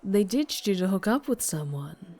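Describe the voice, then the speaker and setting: A woman's voice: medium pitch, sing-song, normal speed, mellow, breathy edge. A woman playing a flirtatious, doting but fierce vampire biker in an ASMR roleplay, with a Southern US accent and a teasing manner. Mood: flirty, welcoming. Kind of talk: ASMR roleplay, intimate character monologue.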